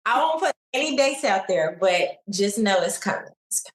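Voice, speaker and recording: A young adult woman from Chicago, a singer, talking in a relaxed, laid-back way in a casual interview. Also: mid-range voice, moderate pace. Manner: relaxed, laid-back, many likes and you knows. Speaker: singer guest. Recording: echoey room.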